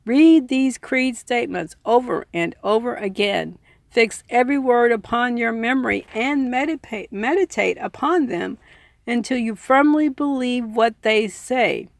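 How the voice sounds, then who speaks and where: A woman's voice: fairly high pitch, unhurried, creaky, dry recording. A woman reading a book aloud earnestly, in an informal audiobook style with personal asides. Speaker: reader and commentator.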